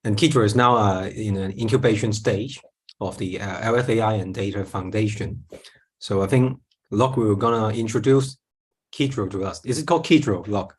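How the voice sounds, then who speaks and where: A man hosting an online talk session, introducing the speaker in a casual, halting way. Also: lowish voice, breathy edge. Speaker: event host introducing speaker. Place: online video call.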